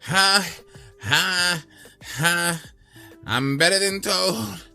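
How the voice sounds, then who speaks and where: A man voicing a boastful male donkey in a storybook tale, shouting his brags in a braying voice over soft music. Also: sits high, extremely slow, vocal fry, pitched above the performer's own voice, full character voice. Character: boastful male donkey racer, proud then frantic.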